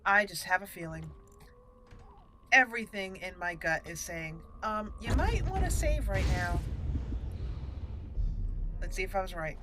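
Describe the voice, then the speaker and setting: A woman hosting a let's play, chatting casually about her gameplay and reacting excitedly to the action. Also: mid-range voice, a little slow, long pauses, a little hiss or hum. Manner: chatty, excitable reactions, talks to party members.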